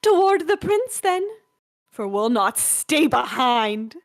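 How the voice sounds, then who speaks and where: A woman playing a sly, ingratiating royal duke who feigns humility in a Shakespearean radio play, speaking formally and deliberately. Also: sits high, very animated, full character voice. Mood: hushed flattery.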